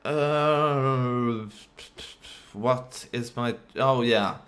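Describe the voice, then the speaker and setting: A man speaking English as a second language in a casual, unscripted monologue, halting with fillers and restarts, with a deep voice. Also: fairly bright tone. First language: not English. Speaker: vlogger.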